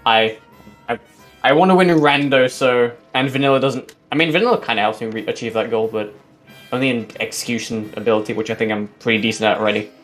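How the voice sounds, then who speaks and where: Man, loud booming voice, rambling casual commentary, mid pitch, faint background music. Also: fast talker. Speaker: speedrun commentator. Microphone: desk mic at forearm's length. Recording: loud voice, clipping mic.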